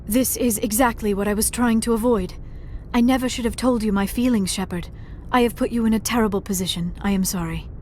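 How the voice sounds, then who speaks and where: A woman playing a gentle, earnest young alien scientist in a video game, speaking formally and precisely. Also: on the high side, steady tone, fairly quick, slight background noise, natural voice. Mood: regretful. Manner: formal, precise speech without contractions. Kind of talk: video game dialogue acting.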